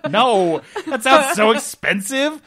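A man co-hosting a casual comedy podcast, telling stories in a joking, animated way and swearing casually. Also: very high voice, slow, soft background music. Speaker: podcast co-host. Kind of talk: casual comedic group chat.

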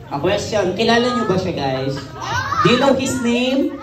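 A man's voice: high-pitched, very animated, a little slow. A young man with a Filipino accent, instructing and bantering as a children's party entertainer, switching between languages. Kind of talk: live puppet show patter with audience. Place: decorated indoor party venue with audience. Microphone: handheld mic on PA, filmed by audience phone. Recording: roomy party hall PA, distant phone, noisy crowd.

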